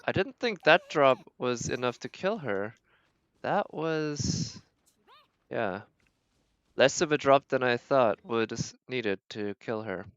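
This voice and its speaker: A man's voice: medium pitch, smooth, no room sound, muffled recording. A man giving casual, rambling gameplay commentary as a streamer, thinking aloud as he plays. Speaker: game streamer.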